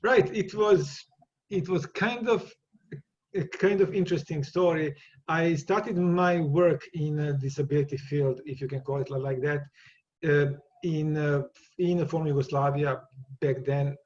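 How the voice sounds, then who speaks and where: A man with a Serbian accent, answering interview questions in a loose, halting way, circling back and repeating words as he goes. His voice sits a bit high for a man and comes through dull and lo-fi. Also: darkish tone, slight background noise. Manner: halting, many repeated words and restarts, laughs easily. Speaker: guest. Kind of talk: casual interview conversation.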